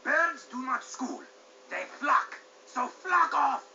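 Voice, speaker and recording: Lively wisecracking bird commentator. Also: male voice, very high-pitched, slow, heavy background noise, full character voice. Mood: snappy. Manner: dry, snappy. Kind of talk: cartoonish video game sports commentary. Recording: dull audio under music.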